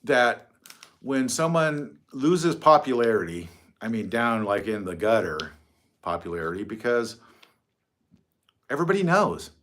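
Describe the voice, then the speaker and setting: A man's voice: mid-range voice, big pitch swings, a little slow, long pauses, fairly bright tone, a little hiss or hum. A middle-aged man hosting a casual livestream from his phone, rambling, mocking and swearing freely. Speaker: livestream host.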